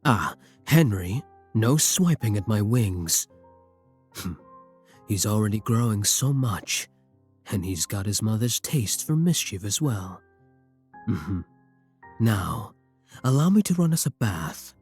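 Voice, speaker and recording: A man playing a brooding, tender vampire king in intimate ASMR roleplay, husky and courtly, with formal endearments and hesitant pauses. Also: lowish voice, sing-song, heavily acted. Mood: calm, caring. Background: soft music.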